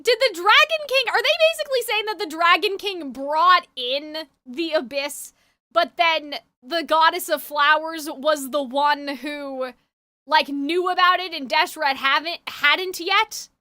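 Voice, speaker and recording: A woman's voice: sits high, measured pace, bright, forceful tone, no echo, studio quality. A woman game streamer chatting casually with her viewers and thinking aloud as she reacts to a story.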